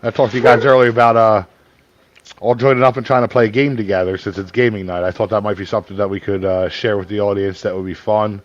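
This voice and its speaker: Male stream host, low register, casual talk, slightly muffled lo-fi sound. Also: fairly even intonation, fast, dry recording. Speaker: livestream show host. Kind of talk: casual chat.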